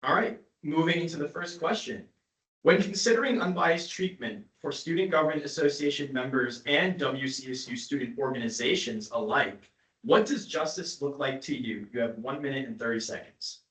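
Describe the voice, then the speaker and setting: A man's voice: medium pitch, moderate pace, fairly bright tone. Distant young male moderator in an echoey hall. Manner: announces steps plainly, gives timed instructions. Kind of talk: moderating a candidate forum. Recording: echoey room from far off.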